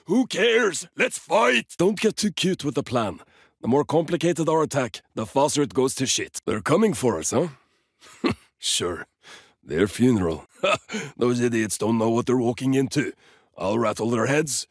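An actor voicing a cocky, brash Swedish mercenary in a video game, with a Swedish accent and a rough, swaggering, blunt delivery. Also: male voice, mid-range voice, expressive, measured pace, dry recording, clean studio sound. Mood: blunt, menacing, then dismissive, confident. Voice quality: rough, husky.

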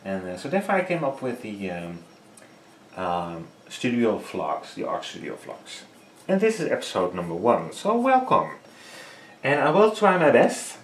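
A man's voice: medium pitch, huge pitch swings, normal speed, a little hiss or hum. A man in his thirties with a Dutch accent, speaking English as a third language while painting, hesitant and full of fillers. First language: Dutch and Frisian. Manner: hesitant, many fillers, searching for English words. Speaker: painting tutorial host. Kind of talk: casual studio vlog while painting. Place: small home art studio, indoors. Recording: echoey room.

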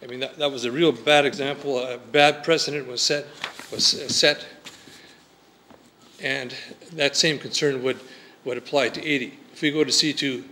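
Man, public comment, hesitant and halting, mid-pitched, light background noise. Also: fairly even intonation, bright, forceful tone, low-quality recording. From Canada. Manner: halting, full of fillers and restarts, clears throat. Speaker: resident objecting at a council hearing. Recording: faint noise, council chamber mic.

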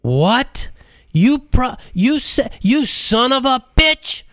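A man voicing a sharp-tongued, fiery woman, pitching his voice well up with a tart, scolding edge. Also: big pitch swings, slow pace, no echo, studio quality, full character voice. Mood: furious.

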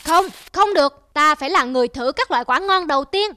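A woman's voice: sits high, expressive, fairly quick, pushed and bright. A woman voicing a greedy, boastful monkey in a children's cartoon, with a haughty, blustering manner. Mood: greedy, eager. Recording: music behind the voice.

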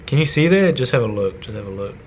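A young man with a New Zealand accent, talking casually through a cooking tutorial in his home kitchen, slangy and swearing offhand, often dropping to low mutters. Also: sing-song, fast talker, slight background noise. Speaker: cooking vlogger.

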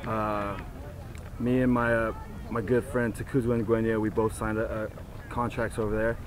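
A man's voice: lowish voice, flat delivery, moderate pace. A man in his twenties, an athlete, talking casually in an interview outdoors. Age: 20s. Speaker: rugby player. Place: outdoor sports field.

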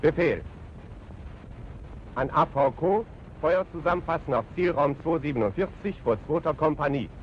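A man playing a brisk, stern German army officer in a 1940s training film, with clipped, commanding speech. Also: fairly high pitch, animated, lightly acted. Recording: dull, noisy 1940s film soundtrack.

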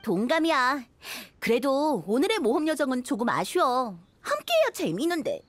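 A woman playing a spirited, upbeat young woman musician in a video game, friendly and expressive. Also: high voice, normal speed, dry recording.

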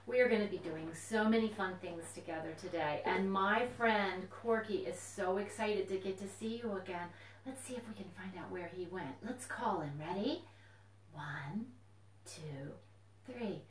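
A woman's voice: medium pitch, normal speed, slight background noise. A woman hosting a children's storytime, speaking to young children in simple phrases with questions and encouragement. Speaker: children's librarian, storytime host. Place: indoors at home. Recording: echoey room, distant mic.

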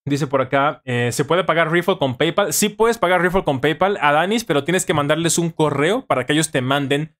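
A Mexican man in his thirties with a slightly high voice talks casually as a live-stream host. He is informal and conversational, often doubling phrases for emphasis, and speaks close into a studio mic in a small home room. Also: a little fast, hardly any pauses, a bit bright. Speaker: live-stream host, language-learning tutor. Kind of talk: casual live chat answering viewer questions. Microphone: studio microphone on boom arm, close.

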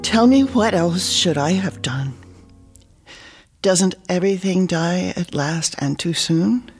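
Sad breathy woman friend at a funeral, slow and low-ish. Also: expressive, darkish tone, faint music behind. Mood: grieving. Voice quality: breathy, tearful. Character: grieving woman friend reading a eulogy poem. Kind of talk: audio play acting.

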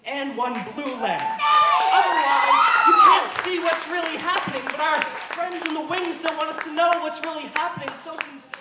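A man delivers slam poetry in a high, projected voice with emphatic declamation. He is recorded at some distance in an echoey live venue, with clear noise behind him. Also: huge pitch swings, moderate pace, a loud crowd. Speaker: slam poet.

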